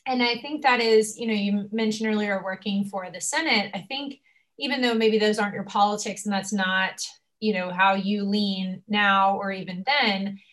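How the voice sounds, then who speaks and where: A woman's voice: medium pitch, a bit bright. A young woman hosting a casual conversation, effusive and emotional, with many fillers. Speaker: host.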